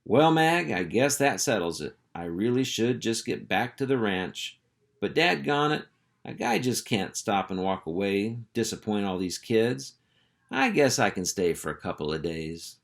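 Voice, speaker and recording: A man's voice: fairly low pitch, very animated, measured pace, creaky, heavily acted. A man voicing a boastful, oblivious ranch dog in a storybook, with a folksy, self-important, blustering manner. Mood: earnest, blustering.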